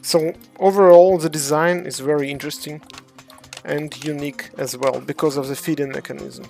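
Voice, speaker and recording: A speaker from Ukraine with a Ukrainian accent, a game developer casually walking through models on a livestream and answering chat in halting English full of hesitations. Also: mid-range voice, steady tone, gravelly, a little mellow, soft background music. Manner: halting non-native English, frequent hesitations.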